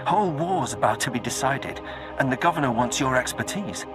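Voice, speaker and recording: A brusque Imperial military messenger, played by a man in a sci-fi game cutscene. His medium-pitched voice is terse and businesslike, with clipped phrasing over prominent music. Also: expressive, fairly quick, natural voice. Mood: brisk, urgent. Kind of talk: scripted game cutscene acting.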